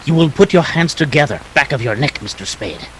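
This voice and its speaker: A man's voice: a little high, lively intonation, a bit bright, slight background noise, poor audio. A man acting a fussy, formally polite and slippery Greek crook in a 1940s detective radio play. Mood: politely threatening. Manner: formal, precise, halting politeness.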